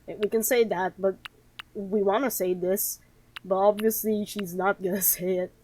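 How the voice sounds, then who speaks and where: Expressive woman streaming a game. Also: mid-range voice, a little slow, slight background noise. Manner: expressive, sarcastic reactions. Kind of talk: playthrough commentary, reading game text aloud.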